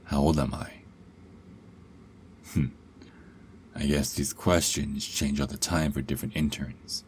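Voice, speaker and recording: A man's voice: extremely low pitch, fairly even intonation, a little slow, a little mellow, slight background noise. A man playing a cocky, sarcastic young adult werewolf held captive, in an ASMR roleplay with a taunting, sardonic drawl. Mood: sardonic, coy.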